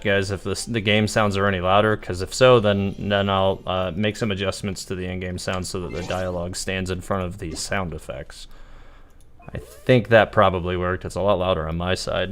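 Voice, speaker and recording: A man's voice: low-pitched, clear, resonant tone. A man chatting casually on a live game stream, thinking aloud and explaining as he goes.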